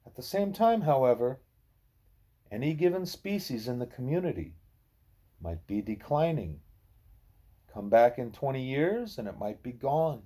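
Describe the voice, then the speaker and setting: A man reading a novel of dialogue aloud in a soft, husky voice, with a measured, explanatory and expressive delivery. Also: mid-range voice. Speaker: audiobook reader. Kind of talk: scripted narration of a novel in dialogue.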